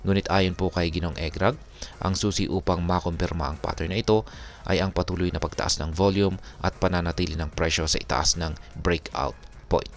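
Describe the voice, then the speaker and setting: A Filipino man hosting an explanatory video, speaking in a polite, measured, formal way. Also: deep voice, fairly even intonation. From Philippines. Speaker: crypto video host. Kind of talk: explanatory narration over charts.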